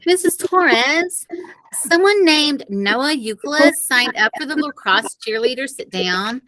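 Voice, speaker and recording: A woman's voice: sits high, lively intonation, slow pace, a bit bright, full character voice, low-quality recording. Woman playing an indignant, dramatic teenage cheerleader. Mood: dramatic protest. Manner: emphatic, protesting, dramatic. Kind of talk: children's audiobook character reading.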